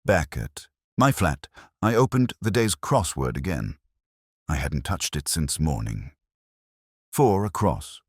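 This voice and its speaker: A man playing a weary, middle-aged literary police inspector, narrating his case in a measured, reflective detective-drama style. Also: very deep voice, big pitch swings, breathy edge, no room sound, clean studio sound, full character voice. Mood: brooding, measured. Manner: measured, brooding, literary delivery. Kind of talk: scripted first-person detective narration.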